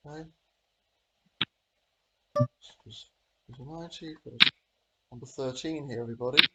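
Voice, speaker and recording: A man with a cold, a bit hoarse, hosting and talking casually through his own poker hands as he plays, half-muttering his thoughts aloud. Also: mid-range voice, moderate pace, very noisy. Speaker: host. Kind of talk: casual commentary while playing online poker.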